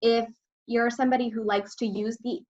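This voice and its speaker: A woman from Texas co-presents a webinar in an upbeat, conversational manner. Her voice sits a little high. The sound is dull and lo-fi, like an online call. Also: steady tone, a little fast, a little mellow. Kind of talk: webinar talk.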